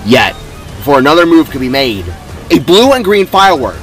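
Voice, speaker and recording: A man's voice: a little high, sing-song, a little fast, a bit bright, soft background music, poor audio. A man reading dramatic scripted story narration as its author.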